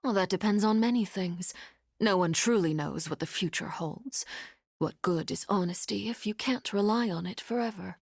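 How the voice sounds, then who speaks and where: An actress playing a commanding, enigmatic woman of high rank in a video game, speaking in a measured, formal, coolly intimidating way. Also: gravelly, a little mellow, slightly rough, no echo. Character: commanding, enigmatic female harbinger, called Father by her wards. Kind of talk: dramatic video game voice acting.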